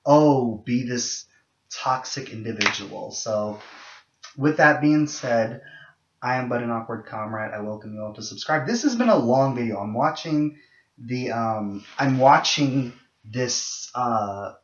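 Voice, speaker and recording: A young man with a low voice talks straight to his viewers, candid and rambling, full of ums and false starts. The sound is a little muffled and lo-fi. His voice carries the echo of a bare room. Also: expressive, a little slow. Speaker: vlogger. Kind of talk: casual monologue to camera.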